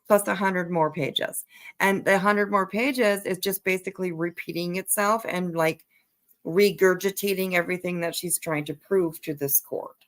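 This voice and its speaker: A woman's voice: lowish voice, steady tone, normal speed, a bit bright. A woman hosting a casual livestream commentary, talking bluntly and emphatically, repeating phrases for emphasis and swearing casually. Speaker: livestream host.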